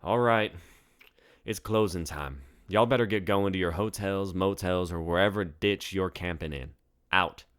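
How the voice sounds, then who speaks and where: A man's voice: low-pitched, measured pace, fairly bright tone, clear, resonant tone. A man voicing a weary, stern woman bartender in a story, speaking in a dry, irritated, curt way. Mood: irritated, commanding.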